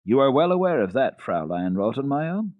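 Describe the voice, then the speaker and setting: A man's voice: medium pitch, sing-song, moderate pace, dry recording, narrow, tinny audio, pitched above the performer's own voice, natural voice. A man voicing a jovial, indulgent father who speaks heartily and positively in a children's storybook. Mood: firm, positive. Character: jovial, indulgent wealthy father, firm master of house. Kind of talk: dramatic children's storybook reading.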